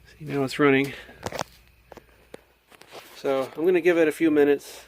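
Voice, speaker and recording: A man's voice: fairly high pitch, fairly even intonation, a little slow, a little mellow, a little hiss or hum, poor audio. An American man giving a casual show-and-tell, thinking aloud in a hesitant, puzzled way. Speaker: hobbyist video maker. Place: indoors at a home workbench.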